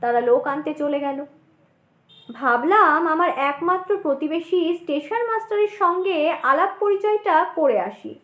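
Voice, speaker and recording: Woman, audio story narrator, high voice, expressive scripted reading, echoey sound. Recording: roomy echo on the voice.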